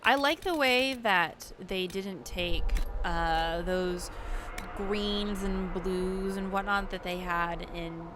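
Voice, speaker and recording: A woman's voice: mid-range voice, slow, fairly bright tone, a little hiss or hum. A woman hosting a gaming video, giving casual, chatty play commentary as she thinks aloud.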